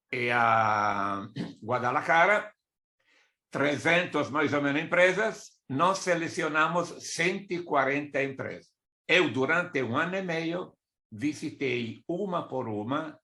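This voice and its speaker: A man's voice: medium pitch, sharp tone. An older man from Italy with an Italian accent, a native Italian speaker explaining business matters in a didactic way as a consultant giving a presentation. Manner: didactic, with asides and listed examples. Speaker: export consultant giving a talk.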